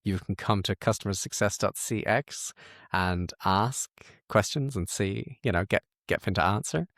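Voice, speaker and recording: A man hosting a casual software tutorial, thinking aloud and correcting himself as he works through the screen in an informal way. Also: fairly low pitch, moderate pace, no room sound, studio quality.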